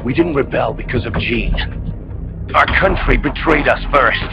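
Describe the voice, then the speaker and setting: Game role for a male actor: embittered Soviet rebel soldier, impassioned and declamatory man, dull lo-fi sound over loud music. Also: medium pitch, normal speed, creaky, natural voice. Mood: bitter, scornful. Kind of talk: video game cutscene acting.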